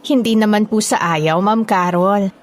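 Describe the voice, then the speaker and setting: A woman's voice: mid-range voice, steady tone, normal speed, close to an everyday voice. Woman acting shy, polite young waitress. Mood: shy, protesting. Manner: polite, bashful, deferential.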